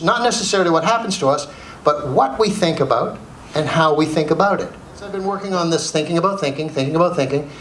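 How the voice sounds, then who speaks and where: A middle-aged Canadian man giving a humorous motivational keynote as an animated storyteller, repeating phrases for emphasis. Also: sits high. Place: indoor speaking venue with audience. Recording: roomy live hall, faint background noise.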